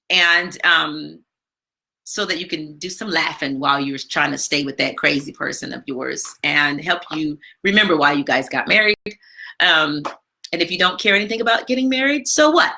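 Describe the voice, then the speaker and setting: A woman hosting a live stream from home, chatting casually with lots of fillers and a big, loud voice. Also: on the low side, normal speed. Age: adult with a daughter. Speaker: live stream show host. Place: home, not her usual studio.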